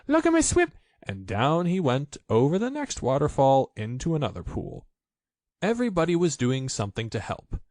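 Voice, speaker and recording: A man reading a children's story aloud as an expressive audiobook narrator. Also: mid-range voice, moderate pace, no echo, studio quality.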